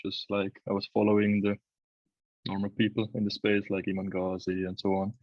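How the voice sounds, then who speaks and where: A man's voice: lowish voice, monotone, fairly quick, no room sound, lo-fi sound. A man in his early twenties from Switzerland, chatting casually as an interview guest about his own experience. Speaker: interview guest, videographer and agency owner. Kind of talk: casual chat, telling own story.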